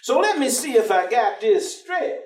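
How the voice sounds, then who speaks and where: A streetwise man onstage, super expressive, teasing and folksy, far off in an echoey theatre. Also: very high voice, moderate pace. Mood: thoughtful. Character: folksy, probing, streetwise man, patient and wry. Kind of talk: staged play reading. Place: theatre stage. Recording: distant, echoey theatre stage recording.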